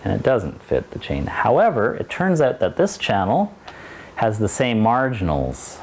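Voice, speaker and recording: A man's voice: lowish voice, sing-song, unhurried, slight background noise. A man giving a university lecture, talking in a digressive way with many restarts and asides. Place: lecture hall.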